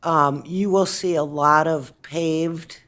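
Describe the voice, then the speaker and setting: A woman on city staff answering council questions at a meeting, speaking with frequent pauses and restarts. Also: low-pitched, fairly even intonation, a bit bright. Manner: hesitant, many fillers and restarts. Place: council chamber.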